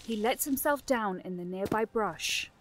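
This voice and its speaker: A woman's voice: mid-range voice, measured pace. Woman narrating fantasy drama, faint noise.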